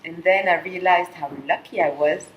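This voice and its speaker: A middle-aged woman from Colombia speaking softly and haltingly, with frequent pauses and fillers, in a reflective personal monologue. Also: lowish voice, steady tone, dull audio, a little hiss or hum. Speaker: vlogger sharing a personal experience.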